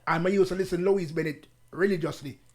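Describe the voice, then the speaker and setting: A man from the UK with a Jamaican accent, the guest on an interview show, telling stories in a relaxed, patois-laced way. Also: sits high, fast talker. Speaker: guest artist. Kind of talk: casual interview chat.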